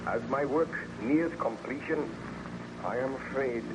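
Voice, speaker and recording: A man's voice: medium pitch, unhurried, close to an everyday voice. Man playing a haunted scientist wracked with guilt, very dramatic old radio style, hissy noisy recording. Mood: anguished, driven. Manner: theatrical, grave, anguished delivery. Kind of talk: old-time radio horror acting.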